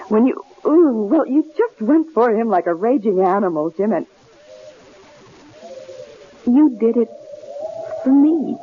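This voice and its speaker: An actress playing a vulnerable, earnest wife in a 1970s radio drama, speaking in a hesitant, emotional way. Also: female voice, mid-range voice, phone-quality sound. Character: vulnerable, earnest wife confessing her feelings. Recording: thin, noisy old radio broadcast.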